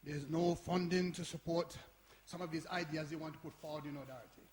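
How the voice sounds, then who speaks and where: An actor playing a weary older grandfather with a halting way of talking, in a live radio play. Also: on the high side, steady tone, a little mellow, natural voice. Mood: worried. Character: Arthur, worried, weary older grandfather, centre owner longing for Jamaica. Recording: reverberant hall.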